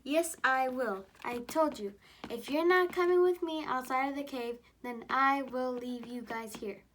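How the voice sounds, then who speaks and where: A woman's voice: high-pitched, unhurried, voice pushed up. A woman voicing a bold, defiant young female mouse explorer in a children's story.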